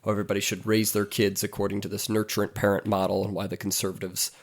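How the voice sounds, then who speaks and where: Congested man, quick, slightly low, creaky voice. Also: level tone. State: recovering from a cold, congested. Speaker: podcast co-host. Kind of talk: casual book discussion.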